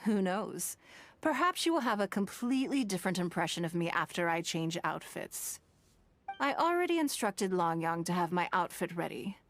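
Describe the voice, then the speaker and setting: A woman playing a poised, self-assured noblewoman in a video game, with refined, measured diction and a playful side. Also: fairly low pitch, sing-song. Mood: composed.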